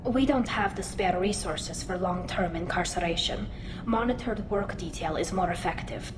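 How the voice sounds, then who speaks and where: A woman's voice: fairly low pitch, normal speed, echoey room, background noise, natural voice. A woman playing an earnest young alien woman accused of treason, speaking formally in video game dialogue. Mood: resigned, worried.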